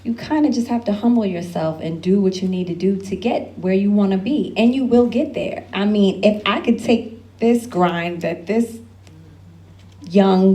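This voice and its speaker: A woman speaks on an alumni panel, mid-pitched and candid. She gives career advice with loose asides and laughs now and then. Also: dark tone.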